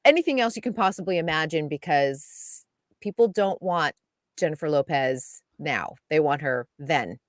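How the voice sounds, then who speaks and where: A woman giving a chatty, lively entertainment news segment on a radio show, laughing often. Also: low voice, moderate pace, dry recording, clean studio sound. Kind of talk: casual radio chat.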